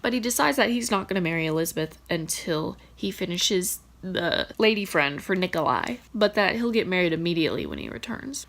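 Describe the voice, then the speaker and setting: A young adult woman giving a casual, animated book recap as a vlogger, dramatic and slangy with bursts of mock shock. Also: medium pitch.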